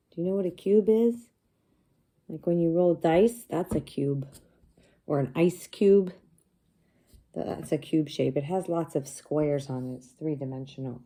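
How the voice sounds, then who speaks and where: A woman teacher reading a picture book aloud to young children, pausing to explain things simply and ask them questions. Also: deep voice, dark tone.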